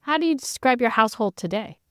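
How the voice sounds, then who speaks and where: A woman's voice: a little high, expressive, quick pace, dry recording, clean studio sound. A woman hosting a podcast, asking questions in a conversational interview style.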